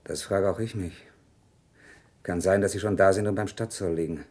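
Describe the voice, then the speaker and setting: An actor playing a stern, upright shopkeeper and husband in a radio play, measured, gruff and authoritative. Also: male voice, deep voice, steady tone, a little mellow. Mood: weary, measured. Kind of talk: German radio play acting.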